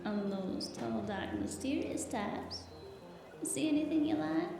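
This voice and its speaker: A woman's voice: high-pitched, animated, a little slow, creaky, heavily acted. Echoey, flirty market vendor played by a woman. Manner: coy, teasing, lilting. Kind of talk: cyberpunk noir audio drama. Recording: echoey, distant mic, music behind.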